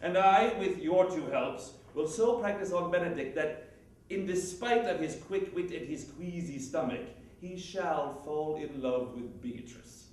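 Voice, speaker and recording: In a very echoey room, far from the mic, a man plays a high-voiced, jovial nobleman. He is a playful schemer, declaiming like a Shakespearean stage matchmaker. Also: animated, a little slow. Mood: playful, exuberant. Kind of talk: theatrical Shakespeare monologue.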